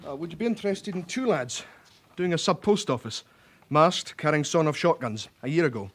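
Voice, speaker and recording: A man's voice: on the high side, expressive, fairly quick. Helpful police records man. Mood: matter-of-fact. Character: helpful, matter-of-fact male police records officer. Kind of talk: British radio drama acting.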